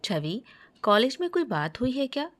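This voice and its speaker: Woman voicing a concerned, higher-pitched mother. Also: sing-song, moderate pace. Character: concerned, gentle middle-aged mother.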